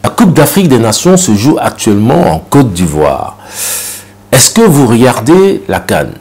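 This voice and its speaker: A man's voice: medium pitch, sing-song, a little slow, a little mellow, breathy edge. A man hosting a special interview show, asking formal questions in an announcer-like way. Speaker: TV show host.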